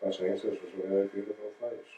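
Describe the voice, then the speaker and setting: A man's voice: lowish voice, flat delivery, mellow, echoey room, distant mic, a little hiss or hum, low-quality recording. An older man from Denmark recalling his life in a home oral history interview, telling it plainly and correcting himself as he goes. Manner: slow, plain storytelling with restarts and self-corrections.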